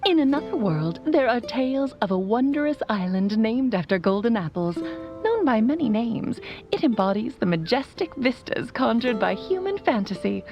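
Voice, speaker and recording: A woman's voice: a little high, sing-song, normal speed. A woman playing a loving, whimsical mother in a video game, speaking in a tender, lyrical, theatrical way. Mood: wistful, tender. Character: loving whimsical mother, long-lived mage, playful and wistful. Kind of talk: video game voice acting, storytelling monologue. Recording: slightly muffled, music behind. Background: background music.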